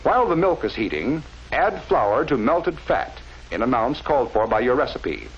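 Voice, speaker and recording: A man narrating a scripted 1940s instructional film in a measured, didactic way with careful enunciation, on a vintage film soundtrack. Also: mid-range voice, big pitch swings, slightly rough, lo-fi sound.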